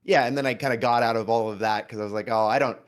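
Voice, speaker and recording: A man of middling pitch chats casually as a guest. He is earnest and emphatic, rambling from point to point and swearing freely. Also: fairly even intonation, very fast, clean tone. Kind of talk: casual chat with heavy swearing.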